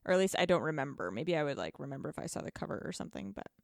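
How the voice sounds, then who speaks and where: A woman co-hosting a podcast, talking about video games in a blunt, informal, animated way. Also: sits low, racing, gravelly, no echo, studio quality. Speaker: podcast co-host. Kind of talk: casual video game discussion.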